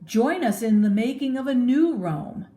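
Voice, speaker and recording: A woman's voice: a little high, big pitch swings, unhurried, mellow, pitched above the performer's own voice. A woman voicing an earnest, honorable Roman statesman who speaks persuasively in a storybook reading. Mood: pleading. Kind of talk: storybook read-aloud with theatrical oratory. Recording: echoey room.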